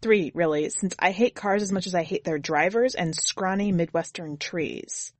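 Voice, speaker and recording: A woman's voice: fairly low pitch, normal speed, dry recording, close to an everyday voice. A woman narrating in the first person as a jaded, blunt twenty-year-old drifter, wry and foul-mouthed, in an audiobook story.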